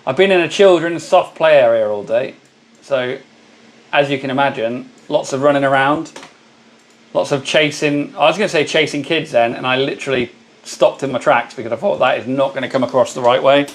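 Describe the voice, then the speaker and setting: A hoarse man hosting a casual live stream, chatting and greeting viewers by name. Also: medium pitch, brisk. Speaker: livestream host.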